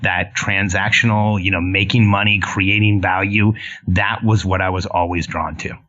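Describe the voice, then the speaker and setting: A man's voice: sits low, steady tone, moderate pace, bright, forceful tone. A man in his forties who grew up in Mexico and Southern California, telling his story earnestly as a podcast guest. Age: 40s. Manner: earnest, with frequent restarts. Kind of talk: casual interview storytelling. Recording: muffled lo-fi line.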